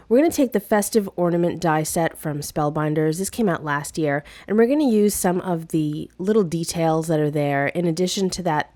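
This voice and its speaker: A woman narrating a casual craft tutorial, chatty and friendly with fillers and restarts, slightly hoarse from a cold. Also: fairly low pitch, darkish tone, no echo. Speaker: craft video host.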